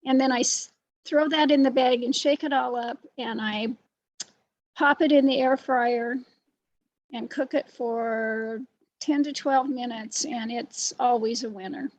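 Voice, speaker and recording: A soft-spoken woman explaining a recipe in a casual online class, hesitant with fillers. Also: a little high, steady tone, a little slow, dry recording, highs cut off. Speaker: co-host. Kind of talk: casual chat.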